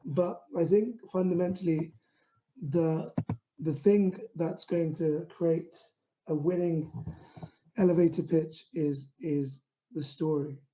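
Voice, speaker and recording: A man with a London accent giving an online talk to camera, speaking in a steady, self-described monotone with frequent fillers and restarts. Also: on the high side, slow, poor audio. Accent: London English. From England. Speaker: founder giving a pitching talk.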